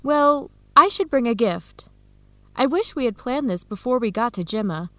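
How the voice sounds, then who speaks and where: Actress doing a friendly eager student girl in a radio drama, highish voice, earnest, very dry sound. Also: expressive, moderate pace, dry recording, natural voice. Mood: earnest, considerate. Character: eager young Ethiopian American woman student, friendly and considerate. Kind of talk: scripted educational radio drama dialogue.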